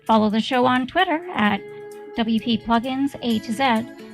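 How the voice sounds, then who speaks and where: A woman reads a scripted show outro as an announcer. Her voice is a little high and noticeably creaky, with light music behind it. The delivery is instructional. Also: unhurried. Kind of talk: scripted promotional outro narration.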